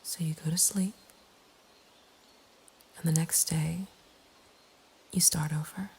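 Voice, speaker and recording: A woman narrating a scripted horror story in a breathy ASMR whisper, with a hushed, deliberate delivery. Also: sits low, steady tone. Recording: faint ambient background noise. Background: soft nature ambience.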